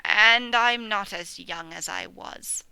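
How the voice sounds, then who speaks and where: Woman putting on a slow, drawling old ewe. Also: medium pitch, no room sound, full character voice. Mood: mournful. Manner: slow, drawling rustic speech with soft breathy murmurs. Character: old ailing female ewe, mournful and gossipy. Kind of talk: storybook reading.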